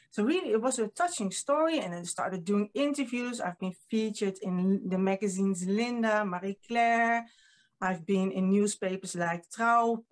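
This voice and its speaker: A Dutch woman in her fifties with a Dutch accent, giving an earnest talk as a publisher, with frequent ums, recorded on a webcam at home. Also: medium pitch. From Netherlands. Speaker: guest speaker, publisher. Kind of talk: online presentation. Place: home room with painting. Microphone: video call webcam.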